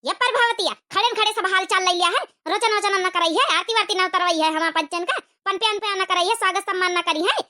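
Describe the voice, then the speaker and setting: An angry, haughty visiting auntie from a village cartoon, played by a woman. She complains and demands in an Awadhi accent, in a piercing, extremely high voice. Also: fairly quick, hardly any pauses, pushed and bright. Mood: demanding. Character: hot-tempered, demanding elder sister visiting as guest. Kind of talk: exaggerated cartoon comedy dialogue.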